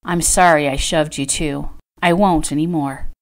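A woman's voice: low-pitched, fairly even intonation, moderate pace. A woman narrator voicing a spirited, anxious young heroine in a Western romance, outspoken and emphatic. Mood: cheerful.

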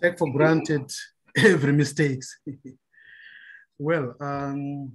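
A man's voice: fairly high pitch, steady tone, very slow, slightly rough. A man from Mozambique, a Portuguese native speaker with a Mozambican accent, speaking careful, hesitant English as an epilepsy advocate on a video call. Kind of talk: online meeting talk.

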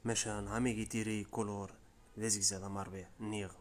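Riffian man, lowish voice, quick informal explainer narration, tutorial voice-over. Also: little pitch movement. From Rif region of Morocco. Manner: brisk, informal, step-by-step explaining. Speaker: tutorial presenter. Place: voice-over, not the pictured scenes.

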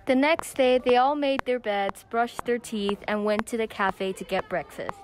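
Teen female narrator, high-voiced, reading a student audio drama over audible noise. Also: moderate pace. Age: young teen. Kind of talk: scripted story narration. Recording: clicky amateur recording with background noise.

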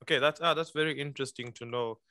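A man's voice: medium pitch, quick pace, pushed and bright, no room sound, clean studio sound. A man moderating a webinar interview, asking questions with frequent hesitations and fillers. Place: room at a lectern.

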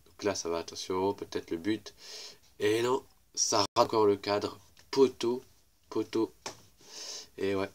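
Young guy with a cold, stuffy low voice, rambling through football highlights, keeps saying look look. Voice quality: congested, little voice. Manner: rambling, repeats "regardez" and "voilà". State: has a cold, weak voice. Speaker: YouTuber commenting match highlights. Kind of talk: casual football highlight commentary.

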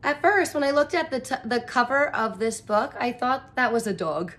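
A woman's voice: on the high side, moderate pace, a bit bright. A woman in her thirties, a teacher reading a picture book aloud and explaining it expressively in simple phrases for young children. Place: small furnished room indoors.

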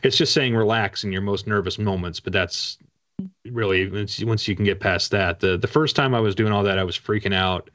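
Male, 40s, lowish register, rambling self-deprecating author in casual interview, slightly muffled call sound. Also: moderate pace, a bit bright. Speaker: guest author. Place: home room with bookshelves, video call. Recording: dull, lo-fi call audio.

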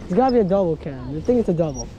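A man giving excited, casual running commentary as he fishes, talking to the fish and to his friends. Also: high voice, very fast, muffled recording. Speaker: fishing vlogger. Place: outdoors on a wooden fishing pier by the sea. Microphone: head-mounted action camera. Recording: outdoor noise on an action camera.

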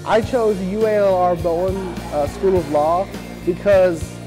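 A man's voice: high voice, a little slow, low-quality recording. A young man from Texas giving an interview testimonial as a law graduate. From Texas, USA. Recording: music behind the voice.

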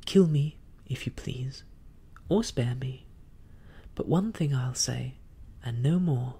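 A man's voice: mid-range voice, slow pace, dark tone, breathy edge, exaggerated voice. A man voicing Jim Hawkins, a brave young boy, declaiming boldly and defiantly. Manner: bold, declaiming. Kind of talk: audiobook reading.

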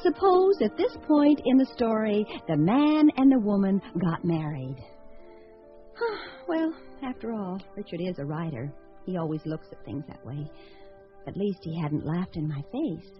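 A woman's voice: medium pitch, sing-song, unhurried. A woman acting in a radio drama as a wry, fluttery entertainer who muses aloud about her failed proposals. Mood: wry musing. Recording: dull lo-fi radio sound, faint music.

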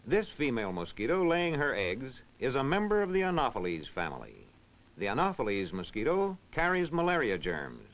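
A man reading scripted educational narration in a measured, instructional, clearly enunciated way. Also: medium pitch, a bit bright. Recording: dull, band-limited vintage film soundtrack.

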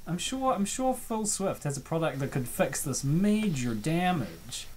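A woman giving excitable, theatrical, joking reaction commentary as narrator of a comic dub. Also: low voice, unhurried, slight background noise.

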